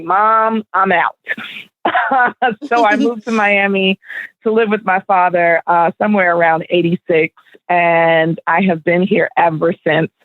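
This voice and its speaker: Middle-aged woman from Barbados, relaxed storyteller, heard over a narrow phone-like line. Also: on the low side, no echo. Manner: relaxed, laughing, storytelling with fillers. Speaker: guest, spoken word event organizer. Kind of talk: radio interview answers.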